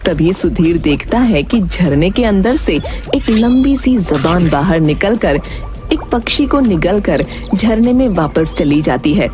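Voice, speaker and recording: Female narrator, scripted children's storytelling, slightly low pitch, clear background music. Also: lively intonation, measured pace.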